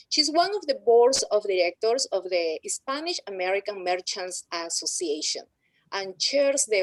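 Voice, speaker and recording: A woman's voice: lowish voice, no room sound, poor audio. A woman moderating a panel, reading prepared introductions of the guests with occasional slips.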